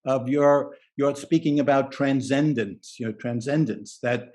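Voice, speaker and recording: Man, participant in an online discussion, eager, rambling, mid-pitched voice. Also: measured pace, a little mellow. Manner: enthusiastic, rambling, many restarts. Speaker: symposium participant. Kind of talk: spoken comment in online discussion. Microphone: video call.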